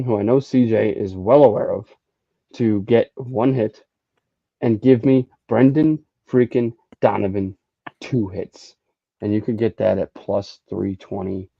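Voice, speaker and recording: A man co-hosting a sports betting podcast, pitching his picks in a playful, theatrical way. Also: dry recording, lo-fi sound. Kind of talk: casual betting picks talk.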